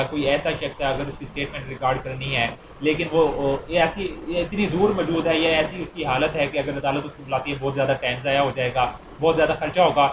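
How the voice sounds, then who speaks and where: A man with a Pakistani accent giving an informal explanatory law lecture, repeating and rephrasing his points with examples. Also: on the high side. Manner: repeats and rephrases points, mixing Urdu with English legal terms. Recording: noisy, echoey room.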